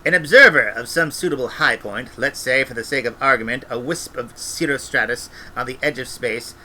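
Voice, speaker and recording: A man reading a story aloud as an expressive narrator. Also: mid-range voice, normal speed, rough recording. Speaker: audiobook reader, former radio presenter.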